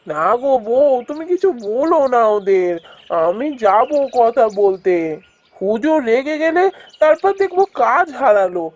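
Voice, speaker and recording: Ailing cartoon village labourer, played by a woman: higher-pitched, evasive, weary and pleading. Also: sing-song, unhurried, a little mellow, lo-fi sound. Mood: anxious pleading. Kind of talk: cartoon voice acting.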